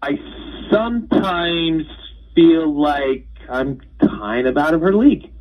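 A man's voice: a little high, animated, slow pace, a crowd in the background. A man speaking casually and defensively on a phone call, hesitant and stammering. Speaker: surprised call-in guest on a radio prank call. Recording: muffled, husky phone line from far off.

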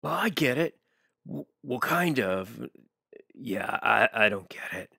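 A man's voice: mid-range voice, big pitch swings, slow pace, vocal fry, sharp tone, breathy edge, no echo, studio quality, full character voice. A man voicing an eager, easily confused male sidekick, chatty and admiring, in a motion comic. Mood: impressed, puzzled. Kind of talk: motion comic character acting.

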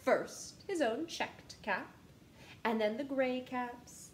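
A woman in her thirties reading a children's picture book aloud in a living room, with an animated, sing-song manner that speaks straight to young listeners. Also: fairly high pitch, takes it slowly, roomy sound, slight background noise. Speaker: storytime reader. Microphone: camera in front at close range.

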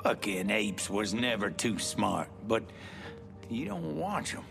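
A man's voice: fairly low pitch, very animated, takes it slowly, creaky, music in the background, full character voice. An actor playing a hateful, sneering Southern American man gossiping in a video game. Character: hateful, drawling male bigot gossiping at a wake.

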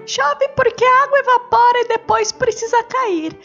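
A very high-pitched little schoolboy played by a woman, curious and earnest, talking as in a children's audio storybook. Music plays behind the voice. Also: fairly even intonation, normal speed, creaky, highs cut off. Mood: earnest, explaining. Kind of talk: children's bedtime story dialogue.

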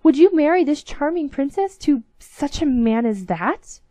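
A woman's voice: on the high side, lively intonation, normal speed, dark tone, dry recording. A woman voicing a confident young prince who captains a ship, in a storybook reading. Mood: assured.

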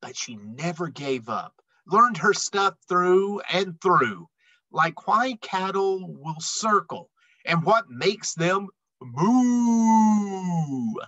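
A middle-aged man reading a children's picture book aloud expressively, with emphatic, dramatic phrasing and drawn-out words. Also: sits high, very animated, a bit bright, dry recording, dull audio. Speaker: read-aloud storyteller.

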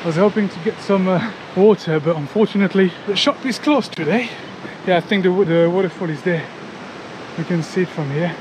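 Excitable French man filming himself in the jungle. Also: sits high, fairly quick, background noise. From France. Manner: chatty and excitable, talks to viewers as guys, swears. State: sweaty and a bit out of breath from climbing. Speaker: travel vlogger. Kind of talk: casual vlog commentary. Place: outdoors in a hilly jungle on a rocky trail. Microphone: handheld action camera at arm's length.

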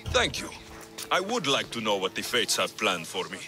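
A man voicing a gruff, sardonic mercenary warrior in a video game. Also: lowish voice, wildly swooping pitch, moderate pace. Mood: dry, wry. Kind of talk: video game cutscene acting. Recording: game music behind the voice.

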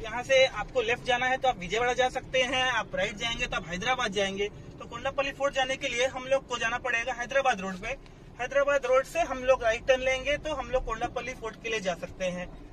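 Young man, travel vlogger, casual chat with fillers, dashboard camera, high voice, noisy car. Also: brisk, sharp tone. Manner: chatty, mixes English phrases into his talk, hesitant fillers. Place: inside a moving car, then outdoors at a fort. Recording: noisy road and car background.

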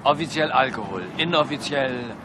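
A man's voice: mid-range voice, lively intonation, normal speed, lightly acted. An actor playing a blunt, grudging male construction-site employee under questioning in a radio play. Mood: grudging, gossipy. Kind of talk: German radio play acting. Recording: noisy outdoor site with vehicle ambience.

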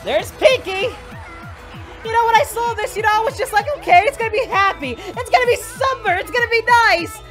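A performer voicing an excitable young male anime hero, hosting a reaction video with melodramatic yelling and wailing. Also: very high voice, brisk. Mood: outraged. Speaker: reaction channel host. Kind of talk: over-the-top reaction commentary. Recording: music playing behind.

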